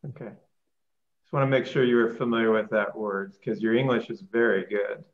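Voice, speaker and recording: A man's voice: fairly low pitch, fairly even intonation, brisk, dull audio. A man in a casual group chat over a video call, making dry, joking asides.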